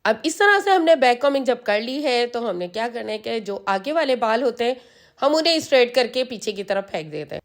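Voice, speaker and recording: A hoarse woman, patiently explaining a hairstyle step by step as a salon channel host, repeating the key steps. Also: fairly high pitch. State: hoarse from a sore throat. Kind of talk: step-by-step hair styling tutorial.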